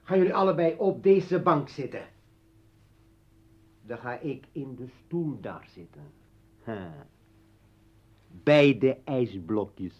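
A man's voice: medium pitch, animated, takes it slowly, long pauses. An actor playing a bitter, armed revolutionary poet and father in a radio play, taunting and commanding. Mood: threatening, commanding. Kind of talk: Dutch radio play acting. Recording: dull old radio recording.

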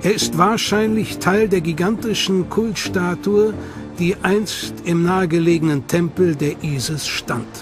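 High-voiced man narrating a documentary. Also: measured pace. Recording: narration over loud music.

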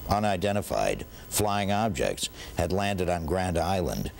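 A middle-aged man recalling events for a documentary interview in a halting, deliberate way. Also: low-pitched, very animated, vocal fry, a little hiss or hum.

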